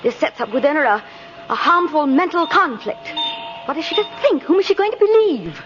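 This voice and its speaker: Firm, high-voiced, animated working mother. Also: fairly quick. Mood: firm, earnest. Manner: firm, crisp, formal. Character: brisk, no-nonsense working mother who scorns fairy tales. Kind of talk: 1950s radio acting. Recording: muffled noisy old radio recording.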